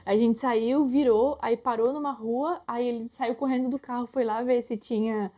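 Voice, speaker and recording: Brief: young Brazilian woman co-host, soft mellow tone, slightly high, chatty storyteller. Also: normal speed. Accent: Brazilian Portuguese. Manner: lively storyteller, finishes her partner's sentences. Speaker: podcast co-host. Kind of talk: casual couple conversation.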